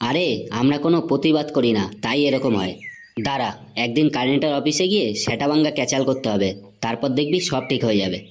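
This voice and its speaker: A man's voice: mid-range voice, fast, close to an everyday voice. A man voicing a cocky, irritable young slacker in a comedy cartoon, with brash slangy retorts. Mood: griping.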